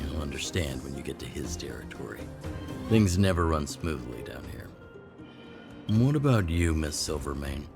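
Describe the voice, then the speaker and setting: Video game role: gruff veteran rebel chief, rock-bottom pitch, measured authority, light music behind. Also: male voice, very animated, heavily acted. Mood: resolute, approving. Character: gruff older male chief of an underground resistance.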